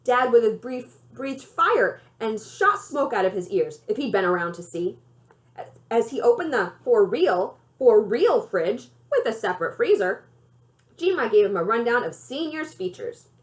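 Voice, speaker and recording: A woman reads a children's novel aloud as a librarian would for young listeners. Her voice is on the high side and very animated in pitch. The delivery is expressive storytelling narration. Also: a little slow.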